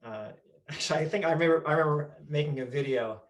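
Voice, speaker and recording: A man's voice: a little high, fast talker. A man hosting an interview over a video call, chatty and explanatory as he asks questions. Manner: chatty, explaining, frequent restarts. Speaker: host, interviewer.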